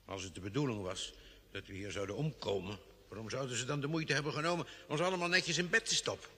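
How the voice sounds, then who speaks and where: An actor playing a thoughtful, cooperative doctor on a space crew in a vintage Dutch radio drama. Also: male voice, mid-range voice, quick pace, pushed and bright, a little hiss or hum. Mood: pondering, uneasy. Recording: old radio recording, long hollow reverb.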